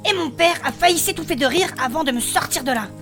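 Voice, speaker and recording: A woman playing a spirited female blacksmith adventurer, recounting her past in animated, colloquial in a fantasy audio drama. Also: sits high, fast talker, music in the background. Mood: lively storytelling. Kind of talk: French fantasy audio fiction acting.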